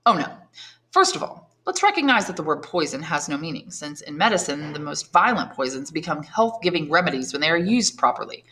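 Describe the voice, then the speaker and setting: Woman reading a suave nobleman: calm, knowing, courteous, lower-pitched storybook voice. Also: exaggerated voice. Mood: smooth, knowing. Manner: measured, courteous, lecturing.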